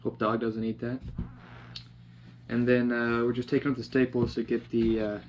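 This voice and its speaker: A man in his twenties talking casually through a hands-on DIY job, often halting and searching for words. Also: medium pitch, flat delivery, darkish tone, muffled recording. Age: 20s. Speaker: DIY vlogger. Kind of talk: casual how-to narration while working. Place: indoors: vehicle cab in a shed, later a basement room. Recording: clearly noisy camera audio with clicks.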